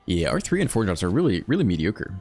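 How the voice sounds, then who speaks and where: A man hosting a card game video, thinking aloud in a relaxed, chatty way as he plays. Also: low voice, fast talker, faint music behind. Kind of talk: casual live gameplay commentary.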